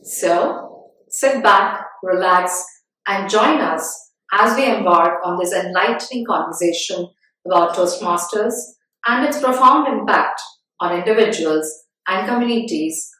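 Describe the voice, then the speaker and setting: A woman's voice: mid-range voice, a little slow, pushed and bright. A woman reading a scripted introduction to the episode. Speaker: intro announcer. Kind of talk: scripted narration. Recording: very echoey, distant voice.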